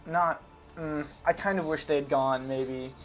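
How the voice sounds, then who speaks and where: A man's voice: on the high side, fairly even intonation, measured pace, slight background noise, poor audio. A man giving casual, unscripted commentary on a video game, talking informally and hesitantly as he thinks aloud. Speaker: gaming video host.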